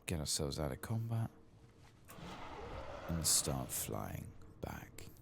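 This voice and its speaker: A man giving soft-spoken, whispered ASMR commentary over a game playthrough, murmuring casually as if to himself. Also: rumbling low, sing-song, slow pace, gravelly, noisy background. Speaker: gaming ASMR host.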